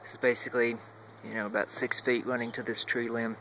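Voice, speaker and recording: Male hobbyist demonstrator, flat and creaky, halting unscripted delivery, filmed on a phone with faint hum behind. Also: medium pitch, poor audio. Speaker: hobbyist demonstrating a home radio antenna setup. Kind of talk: casual unscripted walkthrough demo. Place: at home, moving between indoors and outside. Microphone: handheld phone while filming.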